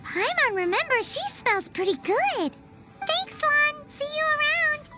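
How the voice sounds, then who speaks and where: A woman voicing a tiny, childlike female fairy companion in a video game, cheeky, excitable and prone to exclaiming. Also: very high-pitched, measured pace, loud music behind the voice, exaggerated voice. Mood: cheeky, eager. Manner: exclaiming, refers to self in third person. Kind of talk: animated video game voice acting.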